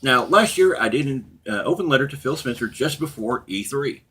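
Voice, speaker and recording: A middle-aged man with a husky voice, talking casually and off the cuff as a blunt, profane, opinionated gaming channel host. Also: medium pitch, animated, fairly quick, a bit bright. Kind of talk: casual unscripted rant and commentary to viewers.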